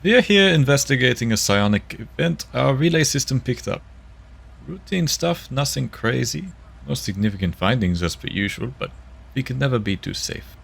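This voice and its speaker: A guarded male investigator in a sci-fi audio drama, played by a man. His mid-pitched voice is dry, measured and matter-of-fact. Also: lively intonation, creaky, a bit bright, breathy edge, heavily acted. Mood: casual, friendly.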